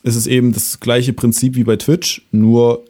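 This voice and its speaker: A young man, a singer-songwriter, talking in a relaxed way with many fillers and a voice that trails off softly. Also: mid-range voice, normal speed. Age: young adult. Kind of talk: casual interview chat.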